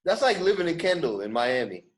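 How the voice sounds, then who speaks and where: A man's voice: on the high side, big pitch swings, a little fast, a crowd in the background. A man hosting a podcast in casual conversation, with slangy, emphatic street talk. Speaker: podcast host. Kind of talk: casual chat. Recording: echoey room.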